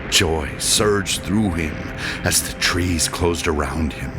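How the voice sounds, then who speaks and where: Male audiobook narrator, extremely low register, unhurried dramatic fantasy storytelling. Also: music in the background.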